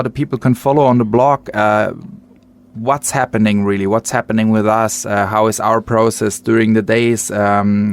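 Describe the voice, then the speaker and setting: Man, low voice, earnest, hesitant phrasing, casual radio interview guest. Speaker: interviewed artist. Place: radio studio.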